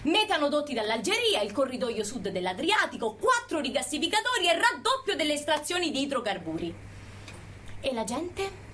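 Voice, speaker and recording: A woman's voice: high-pitched, big pitch swings, moderate pace, pushed and bright, a little hiss or hum, exaggerated voice. A woman performing an indignant researcher-activist in a dramatic protest monologue, pointed and biting, full of rhetorical challenges. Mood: scornful, indignant.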